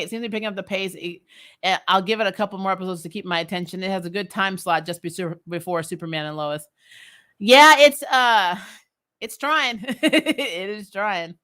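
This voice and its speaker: A woman hosting a casual fan recap livestream, animated and giggly, giving emphatic opinions and repeating herself for effect. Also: medium pitch. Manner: giggly, amused. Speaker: host of a fan recap show. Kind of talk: casual livestream chat, reading and answering viewer comments.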